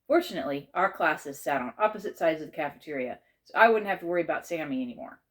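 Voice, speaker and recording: Woman, low pitch, children's story narration, measured, expressive read-aloud. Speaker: author reading aloud.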